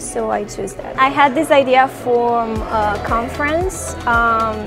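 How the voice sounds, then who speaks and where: First-year student, woman, 18, from Romania, higher-pitched, casual, music bed. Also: vocal fry. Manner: casual, with occasional fillers. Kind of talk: student testimonial.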